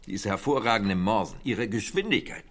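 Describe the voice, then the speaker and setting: An actor in a radio drama playing a candid, persuasive spy handler, chatty and husky, with hesitant asides. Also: male voice, fairly low pitch, expressive, a little fast. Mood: chatty, musing. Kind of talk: conversational radio drama acting.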